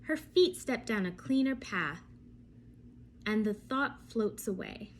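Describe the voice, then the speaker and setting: A woman reading a bedtime story aloud for children, expressive and often turning to ask her young listeners questions. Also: medium pitch, slow pace, slight background noise.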